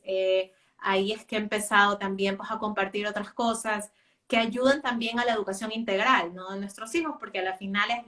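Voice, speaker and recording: A woman's voice: fairly high pitch, bright, forceful tone. Woman, native spanish speaker, homeschooling mother, casual interview talk, hesitant, distant mic. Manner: hesitant, many fillers and restarts.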